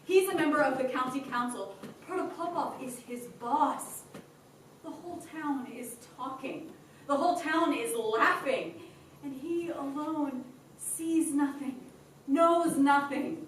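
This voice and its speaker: A despairing young woman, tired of dull work, pours out her anguish in a high voice, as an actress plays her on stage. Her slow, unhurried delivery rings through a very echoey hall, picked up from a distance with faint hiss. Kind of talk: stage play acting. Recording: echoey stage recording from far off.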